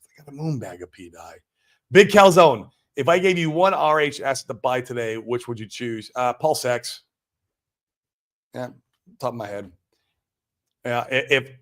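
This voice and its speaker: An American man hosting a livestream, chatting casually and answering viewers in a slangy, exclamatory way. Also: mid-range voice. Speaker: livestream host. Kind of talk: casual chat, answering viewer comments live.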